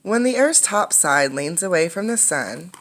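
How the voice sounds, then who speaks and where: A woman reading a picture book aloud as a teacher, in an instructive way, pausing to explain and ask questions. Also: on the low side, very animated, fairly bright tone.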